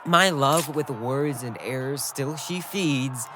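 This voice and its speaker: A man's voice: medium pitch, slow, background noise, lightly acted. A man playing a fiery, defiant young warrior prince in a Shakespearean audio drama. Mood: bitter.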